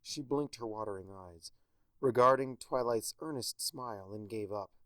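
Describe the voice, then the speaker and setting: A man reading a story aloud with a wry, expressive delivery. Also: medium pitch, normal speed, dry recording. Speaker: fanfiction narrator.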